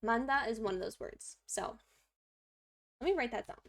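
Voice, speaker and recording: Chatty young woman with a gravelly edge. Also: normal speed, a bit bright. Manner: chatty and self-deprecating, many fillers; talks to a pet in a high baby voice. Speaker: streamer learning Korean. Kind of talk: casual study stream, explaining grammar aloud.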